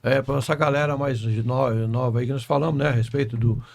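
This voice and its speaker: A man's voice: medium pitch, steady tone, a little fast, creaky. A middle-aged man, a carpenter guest, chatting earnestly on a podcast and often checking in with "understand?". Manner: earnest, keeps adding "entendeu?" and "tá?". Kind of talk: casual podcast chat.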